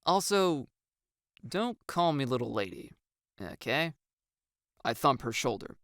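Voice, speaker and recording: Gravelly, expressive audiobook narrator, close and dry. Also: mid-range voice, slow, dry recording. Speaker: story narrator. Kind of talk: first-person audiobook narration with acted dialogue.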